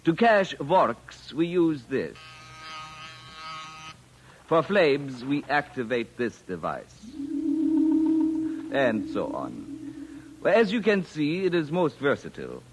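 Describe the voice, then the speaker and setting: Pompous alien official, lively intonation, old-time radio sci-fi style, noisy vintage recording. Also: male voice, mid-range voice. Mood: smug, formal. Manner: formal, measured, swinging into flustered exclamation. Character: pompous, formal male alien official testing captive Earthmen.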